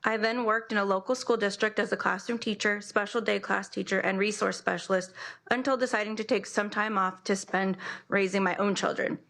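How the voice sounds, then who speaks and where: A woman's voice: mid-range voice, steady tone, fairly quick, creaky, a bit bright. A middle-aged woman with an American accent, reading a prepared statement at a public meeting. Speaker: school staff director speaking as public commenter.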